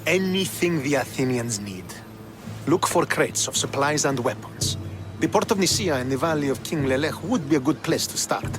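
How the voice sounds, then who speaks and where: An actor playing a stern, proud young Spartan military commander in a video game, speaking formally and with earnest authority. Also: male voice, on the high side, animated, normal speed, lightly acted. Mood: commanding, businesslike. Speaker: video game character, army officer. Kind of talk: dramatic video game cutscene acting. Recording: game soundtrack music behind the voice.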